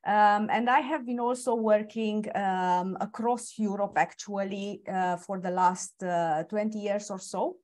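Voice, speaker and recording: A woman's voice: mid-range voice, steady tone, darkish tone. Woman in her 40s from romania, webinar panelist, keeps restarting, mid voice. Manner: halting, restarts often. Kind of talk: presentation talk.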